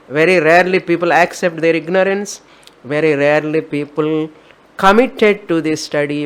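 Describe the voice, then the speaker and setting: A woman with an Indian accent, a spiritual teacher giving an emphatic, repetitive discourse on scripture. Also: deep voice.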